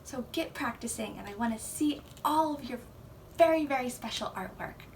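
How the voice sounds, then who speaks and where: A woman reading a picture book aloud to children in an expressive, emphatic and encouraging way. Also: a little high, moderate pace. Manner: emphatic, encouraging, addressing young viewers. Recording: distant mic, faint background noise.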